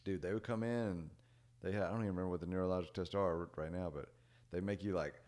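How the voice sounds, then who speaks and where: Deep-voiced young man telling a story. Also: quick pace. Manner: rambling, many "like" and "dude" fillers; relaxed, trails off quietly at ends of thoughts. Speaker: podcast guest. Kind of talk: casual storytelling chat.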